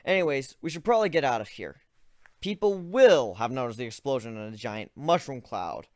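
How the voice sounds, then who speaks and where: A man's voice: mid-range voice, lively intonation, moderate pace, no room sound, close to an everyday voice. Man reading as a weary, analytical young griffin, dry sound. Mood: focused, explaining. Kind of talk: dramatic reading of first-person fan fiction.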